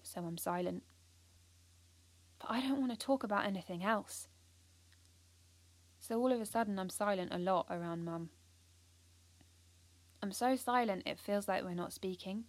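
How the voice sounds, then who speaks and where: A woman's voice: mid-range voice, dry recording, natural voice. A British woman playing an earnest, driven teenage schoolgirl activist, confiding in the listener in an audio drama monologue.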